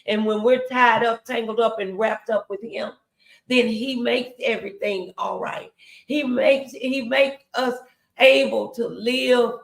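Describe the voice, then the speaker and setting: Creaky-voiced woman preaching to viewers. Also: on the high side, steady tone, measured pace. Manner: exhortatory, emphatic, addressing viewers directly. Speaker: show host and preacher. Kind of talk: motivational faith talk on a livestream.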